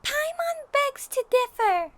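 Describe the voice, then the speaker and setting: Tiny cheeky fairy mascot: extremely high, sharp childlike squeak, unhurried, pouty, anime fan audio drama. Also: female voice, sing-song, no echo, heavily acted. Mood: snarky. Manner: speaks of self in third person, blunt, pouty.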